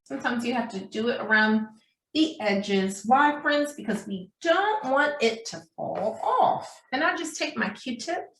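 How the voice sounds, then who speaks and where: A woman's voice: fairly high pitch, big pitch swings, a little slow, fairly bright tone. A woman preschool teacher giving a craft demonstration for young children in a sing-song, checking-in manner, recorded in a room at some distance from the microphone. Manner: sing-song, addressing children as friends, frequent check-in questions. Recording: roomy echo, distant microphone.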